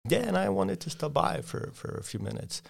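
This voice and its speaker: A man in his forties from Poland with a Polish accent, a sales consultant talking casually and acting out an eager, rushed door-to-door pitch. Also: sing-song, gravelly. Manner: fast, eager salesman patter with frequent repeats. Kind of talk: casual interview, role-playing a sales pitch.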